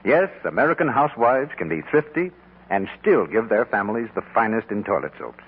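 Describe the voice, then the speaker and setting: A man announcing a 1940s radio commercial in a formal, salesmanlike way with a husky voice. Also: fairly low pitch, lively intonation, a little fast. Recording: tinny 1940s radio broadcast.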